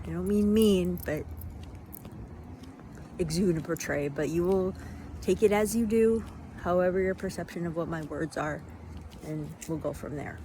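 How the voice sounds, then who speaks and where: A woman giving an unscripted motivational monologue in a reflective way, in halting phrases with deliberate pauses. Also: lowish voice, dark tone. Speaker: vlogger giving self-help reflections. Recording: noisy, vehicle-like ambient sound.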